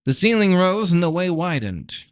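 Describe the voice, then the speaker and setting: A man's voice: high-pitched, sing-song, moderate pace, no echo, studio quality. A tired-sounding man giving a scripted narration of a fantasy novel, as an amateur audiobook reader.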